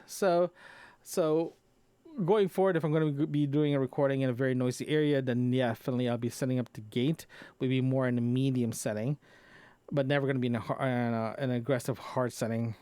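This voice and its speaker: A man with a medium-pitched voice talks off the cuff, as in a personal podcast diary. He rambles and halts, circling back to repeat or rephrase his words. The sound is close, compressed and very dry, with no room echo. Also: darkish tone, clean tone. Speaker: podcaster recording a personal audio log. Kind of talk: casual unscripted solo chat. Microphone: close microphone into a recorder, compressed.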